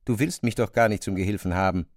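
An actor giving dramatised literary narration, a storyteller who also voices a weary, defiant servant. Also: male voice, on the low side, fast talker, dry recording, studio quality, close to an everyday voice.

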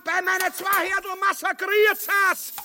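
An actor playing a crude, cowardly, pompous tyrant with a Viennese accent in a grotesque farce. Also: male voice, very high voice, flat delivery, moderate pace, bright, forceful tone, slight background noise, heavily acted. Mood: frantic shouting. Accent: Viennese Austrian. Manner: pompous, blustering. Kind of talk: grotesque farce radio play acting. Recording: hall-like reverb.

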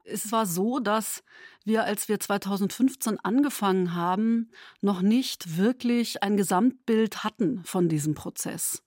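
Female dramaturge, explanatory interview. Slow, measured pace, mid-pitched, very dry. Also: darkish tone, no room sound. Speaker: chief dramaturge.

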